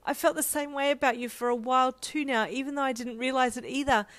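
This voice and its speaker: A woman's voice: a little high, fairly quick, pitched above the performer's own voice, close to an everyday voice. A woman voicing an emotional, flustered young woman in a romance story, given to breathless, blurted outbursts. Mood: tearful, rushing out.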